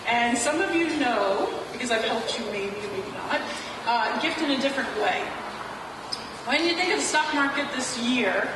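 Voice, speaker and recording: A woman's voice: a little high, animated, normal speed. A woman with a slight Dutch-sounding accent, giving a chatty, joking financial presentation to an audience. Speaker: wealth advisor presenting. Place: large echoey venue, a car showroom. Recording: echoey hall from far off, noisy.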